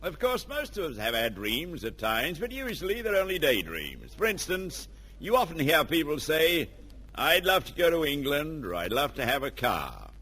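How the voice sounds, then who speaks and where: A man works as an old-time radio announcer. His delivery is bright and persuasive, with swooping emphasis and a husky edge to the voice. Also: on the high side, huge pitch swings, normal speed. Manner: earnest, persuasive. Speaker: announcer and sponsor spokesman.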